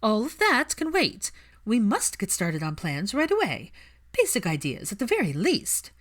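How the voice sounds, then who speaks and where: A woman's voice: mid-range voice, wildly swooping pitch, normal speed, fairly bright tone, voice pushed up. A woman voicing an imperious older witch, an eager, scheming aristocratic mother with a haughty, insistent manner.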